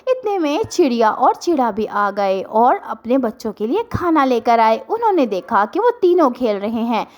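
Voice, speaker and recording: A woman's voice: fairly high pitch, big pitch swings, normal speed. A woman narrating a scripted cartoon story for children as the storyteller.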